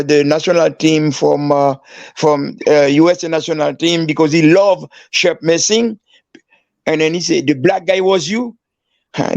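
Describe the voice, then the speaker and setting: An older man from Haiti, a former player and coach, telling stories in halting, broken English, often restarting his phrases. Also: a little high, vocal fry, no room sound, lo-fi sound. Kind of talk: casual storytelling.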